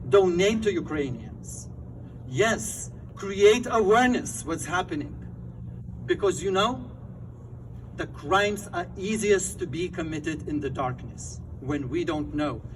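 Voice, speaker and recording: A man's voice: high voice, recorded from a distance, noisy background. Fervent Lithuanian-accented man at a rally. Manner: fervent, emphatic phrasing with rallying cries. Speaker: rally speaker. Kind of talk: impassioned outdoor rally speech. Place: outdoor rally.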